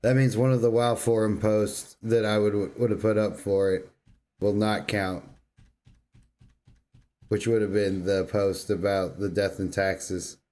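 A man's voice: on the low side, steady tone, measured pace, darkish tone. A man bantering casually about video games as a podcast co-host.

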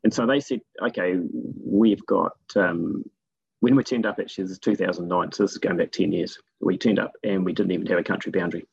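A man with a New Zealand accent, a guest telling stories about his work in a relaxed, rambling way with many fillers. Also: deep voice, brisk, gravelly, slightly rough, no room sound, highs cut off. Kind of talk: casual interview talk.

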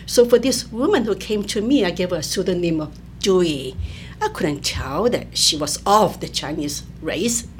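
An older woman from Malaysia, a professor being interviewed, explaining in a measured way with many fillers. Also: fairly low pitch, animated. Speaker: anthropology professor, guest. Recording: faint ambient noise.

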